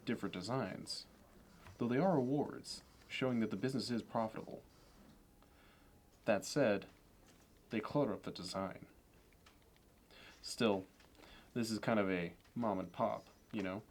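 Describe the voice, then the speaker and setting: Low-voiced, polished Wall Street banker played by a man. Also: measured pace, pauses often, darkish tone. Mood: fastidious, appraising. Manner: polished, meticulous, salesman-like, condescending. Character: smooth, obsessive, status-minded male Wall Street banker. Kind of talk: ASMR roleplay monologue.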